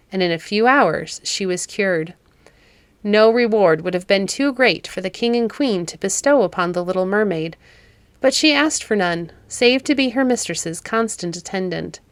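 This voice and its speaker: Female narrator, children's classic read-aloud, medium pitch, very dry sound. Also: normal speed, no echo. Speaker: storybook narrator and podcast host.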